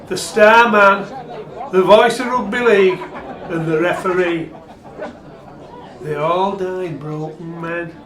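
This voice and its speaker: An actor playing a wry, bleakly obsessed rugby supporter in a radio play. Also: male voice, high voice, steady tone, takes it slowly, long pauses, crowd noise. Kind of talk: dramatic radio play retelling. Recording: roomy, echoing sound.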